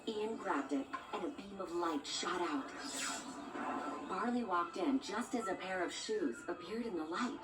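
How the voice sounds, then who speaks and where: A woman's voice: on the low side, expressive, unhurried. A woman reading a scripted children's storybook as a read-along narrator, with music behind her. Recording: roomy, distant sound with music underneath.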